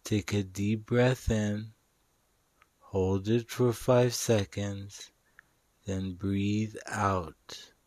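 Male meditation guide: very slow, flat, soothing delivery, long pauses between simple instructions, dry recording. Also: lowish voice, no echo. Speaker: meditation guide and show host.

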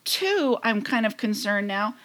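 A woman's voice: mid-range voice, very animated, a little slow, fairly bright tone. A middle-aged woman co-hosting a podcast, chatting casually in an emphatic way. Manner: emphatic, counts off points. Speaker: podcast co-host. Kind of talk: casual chat.

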